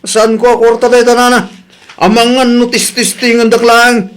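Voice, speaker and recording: A man's voice: extremely high pitch, monotone, normal speed, a bit bright, clear, resonant tone. A man playing a shifty young village son in a comedy radio drama, hesitant and evasive in his talk.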